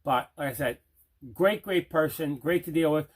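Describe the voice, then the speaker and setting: A man's voice: a little high, moderate pace. A man hosting a collector's video channel, chatting casually through an unboxing with emphatic, repeated phrases. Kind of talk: casual unboxing vlog chat.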